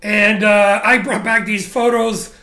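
A man with a notably high, bright voice tells his career story in a loose, animated interview. He is candid and swears casually, often restarting his sentences. The room adds a clear echo around him. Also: slow. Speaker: interview guest, photographer.

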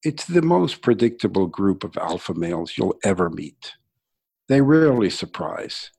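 A mature American man speaks in a low register with plenty of fry and a husky rasp. He answers as an interview guest, recounting things conversationally and reflectively, often pausing to search for the next phrase. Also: sing-song, darkish tone. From Brooklyn, New York, United States. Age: middle-aged or older. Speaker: interview guest, sustainability entrepreneur.

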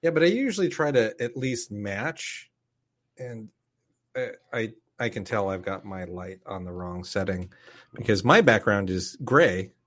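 A man's voice: lowish voice, animated, unhurried, a bit bright. A man co-hosting a podcast in an easygoing, slightly hesitant way. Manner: easygoing, a little hesitant, small restarts. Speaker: podcast co-host. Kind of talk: casual podcast banter. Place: back room of a game store.

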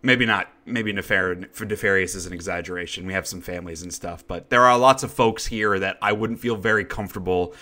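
A man hosting a podcast in casual chat, his voice raspy and congested, with a frequent need to cough, and he talks in a chatty, self-deprecating way. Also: deep voice, fairly quick, hardly any pauses, bright, forceful tone. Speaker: podcast host.

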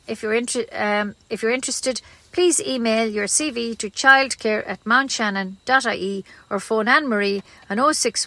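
A woman's voice: a little high, pushed and bright, no echo. An Irish woman reading a scripted local news bulletin as a community radio newsreader.

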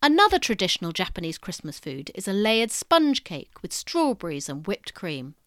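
A woman's voice: mid-range voice, very animated, normal speed, a bit bright, dry recording, studio quality. A woman presenting scripted educational narration in a storytelling manner, as if addressing the listener directly. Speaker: presenter of an educational history podcast.

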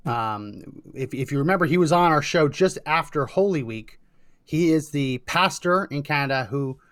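A man's voice: fairly high pitch, fairly bright tone, dry recording. A man hosting a talk show, chatting casually, teasing his co-host and laughing often.

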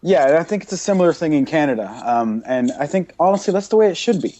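A man's voice: fairly high pitch, animated, quick pace, warm tone. A man hosting a podcast, talking casually in a blunt, emphatic rant that piles up repeated points. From Britain. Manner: emphatic, repetitive listing, blunt. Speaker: podcast host.

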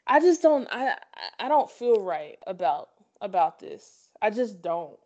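A woman co-hosting a sports podcast with a mid-pitched voice. She talks casually but holds firm opinions, often repeating a phrase to drive it home. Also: sing-song, measured pace, mellow, dull audio. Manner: opinionated, emphatic repetition.

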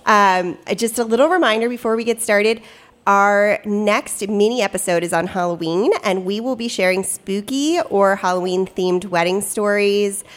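A woman's voice: medium pitch, moderate pace. A woman hosting a casual podcast chat, upbeat and chatty, a little stuffy with a cold. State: stuffy, slight cough. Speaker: podcast host and producer. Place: hotel studio.

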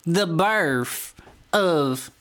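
A woman's voice: lowish voice, expressive, fairly bright tone, exaggerated voice. A woman voicing a pious, folksy American Southerner with a put-on Southern accent in comic banter. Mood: pious, dramatic. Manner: folksy, drawn-out exclamations, repeats catchphrases. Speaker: podcast co-host.

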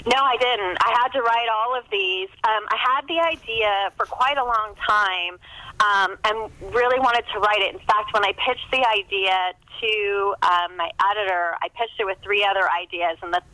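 A woman speaks as a guest in a casual interview about writing. Her voice is bright and forward, recorded dry with little room sound. She rambles chattily and slips into quoting imagined lines. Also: mid-range voice, moderate pace, dry recording, poor audio.

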